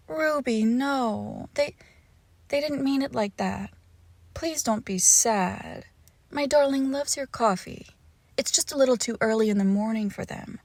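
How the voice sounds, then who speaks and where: A woman playing a lovesick, possessive young witch in an intimate roleplay audio, cooing haltingly and tenderly with a creepy edge, often dropping into whispers. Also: on the high side, darkish tone, dry recording. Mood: coaxing. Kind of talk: intimate roleplay audio acting, close to the listener.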